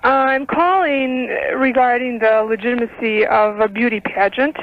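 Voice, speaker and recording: A middle-aged woman calling a radio show, explaining her question in careful, measured words. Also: fairly high pitch, fairly even intonation, no echo. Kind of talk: phone conversation explaining a question. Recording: dull phone call on radio tape.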